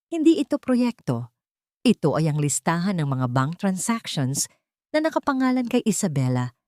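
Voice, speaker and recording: A woman reading a dramatic story as narrator, in a measured, solemn way. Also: low-pitched, a little mellow, no echo, clean studio sound.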